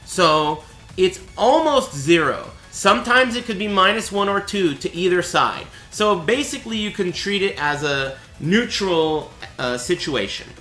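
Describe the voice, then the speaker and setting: Lively raspy-voiced man explaining a fighting game. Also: high-pitched, measured pace, roomy sound, soft background music. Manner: chatty, explanatory, asks "right?" often. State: voice raspy and cracking. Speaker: streamer teaching a fighting game. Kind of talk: casual live-stream tutorial.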